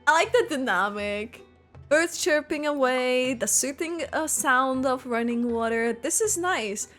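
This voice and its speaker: A woman streamer reacts casually to a game. Her voice is high and very animated, yet she takes her time with the words. Faint music plays behind her expressive commentary. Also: unhurried. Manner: expressive, echoes game dialogue.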